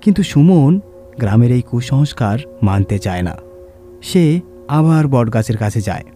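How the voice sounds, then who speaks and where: A man narrates a village horror tale with dramatic, scripted delivery. His voice is mid-pitched and mellow, with faint music playing underneath. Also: sing-song, a little slow. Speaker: story reader and narrator.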